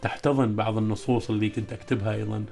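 A male poet interviewed as a guest, speaking hesitantly and then reciting his poems in a slow, chanting cadence. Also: lowish voice, level tone, gravelly, darkish tone.

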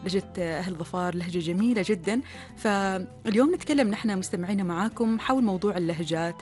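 Omani woman radio co-host, quick casual chat, soft music underneath. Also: medium pitch. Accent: Omani Arabic.

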